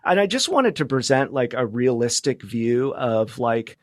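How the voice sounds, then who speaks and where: A man talks as a guest in a relaxed interview. His voice sits at an average pitch in a very dry recording. He speaks reflectively and haltingly, circling back to restart phrases. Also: dry recording. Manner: halting, reflective, many false starts. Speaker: podcast guest, media founder and journalist. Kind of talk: casual interview conversation.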